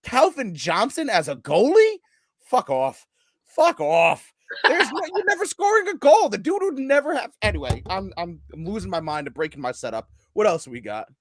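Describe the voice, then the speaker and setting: A man co-hosting a casual sports podcast, animated and profane, now and then bursting into loud yelling. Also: high voice, moderate pace, dry recording. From United States. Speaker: podcast co-host.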